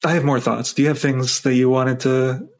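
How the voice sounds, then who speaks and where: A man's voice: medium pitch, steady tone, moderate pace. A man co-hosting a podcast, reflecting on the Torah portion in loose run-on phrases with frequent "like". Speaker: podcast co-host. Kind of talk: casual discussion of the weekly Torah portion.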